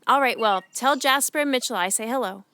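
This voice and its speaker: A woman's voice: fairly high pitch, fairly quick, clear, resonant tone. A woman playing a caring, fussy mother who teases her young daughter in a children's audio drama. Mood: fussing. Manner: warm, fussing, playfully teasing.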